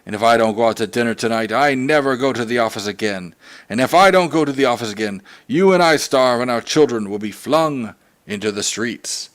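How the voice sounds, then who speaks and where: A man's voice: medium pitch, expressive, a little fast, close to an everyday voice. A man voicing a blustering Victorian father in a sarcastic rage over his tie, reading it aloud from a storybook. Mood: stern. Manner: loud, exasperated, mock-dramatic.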